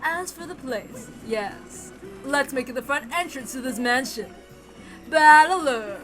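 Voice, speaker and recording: Woman performing a high, gleeful, taunting young witch. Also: close to an everyday voice, poor audio. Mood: haughty, coy. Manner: theatrical, drawn-out words, cackling laughter.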